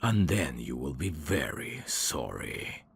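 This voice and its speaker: A man playing a suave, teasing demon in an intimate ASMR roleplay, courteous and flirtatious, with a gravelly voice. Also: very deep voice, big pitch swings, slow, slight background noise, exaggerated voice. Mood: amused, menacing. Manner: smooth-talking, flirtatious, formal phrasing with hesitations. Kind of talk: intimate ASMR roleplay acting addressed to the listener.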